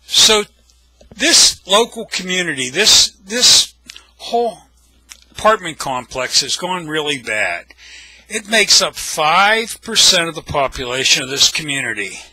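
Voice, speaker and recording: A man's voice: fairly high pitch, big pitch swings, a little slow, pushed and bright, breathy edge, dry recording, poor audio. An older man with an American accent, telling anecdotes casually in a blunt, wry, salty way. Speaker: storyteller, video blogger.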